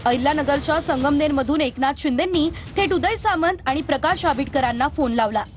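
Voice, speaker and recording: High-pitched woman narrating news. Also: fairly quick, faint music behind. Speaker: news reporter voice-over.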